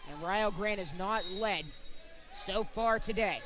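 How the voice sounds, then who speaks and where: High-pitched man calling live sports play-by-play. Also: slow. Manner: describes action in short clipped phrases.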